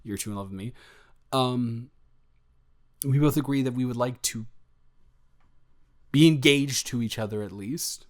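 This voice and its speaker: A young man playing an affectionate, excitable, goofy boyfriend in an improvised roleplay audio, chatting casually and effusively in rambling, slangy, stumbling speech. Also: mid-range voice, measured pace, pauses often, lightly acted. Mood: flustered, affectionate.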